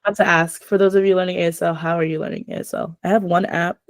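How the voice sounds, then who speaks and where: A woman's voice: fairly low pitch, steady tone, fast, no echo, clean studio sound. A young adult woman hosting a casual chat, rambling with lots of filler words.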